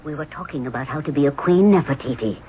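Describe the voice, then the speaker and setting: An actress playing a commanding, motherly middle-aged queen of Egypt, brisk and fussing, in a radio drama. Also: deep voice, close to an everyday voice. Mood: brisk, proud. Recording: dull, noisy old radio broadcast.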